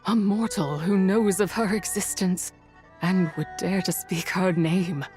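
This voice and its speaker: Woman voicing an ancient, haughty spirit, slow and imperious. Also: fairly low pitch, darkish tone, faint music behind, lightly acted. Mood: solemn, sorrowful. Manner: slow, imperious, solemn, archaic formal speech. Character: ancient imprisoned female spirit, bitter, haughty, menacing toward mortals. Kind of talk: theatrical fantasy game voice acting.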